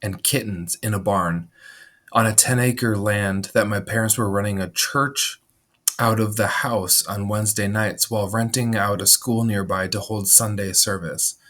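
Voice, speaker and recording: Man, 30s, from Minnesota; slightly low voice, measured memoir read, occasional throat clearing. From Minnesota, USA. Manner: measured, steady reading with frequent throat clearing. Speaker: memoir narrator. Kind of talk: reading a personal memoir aloud.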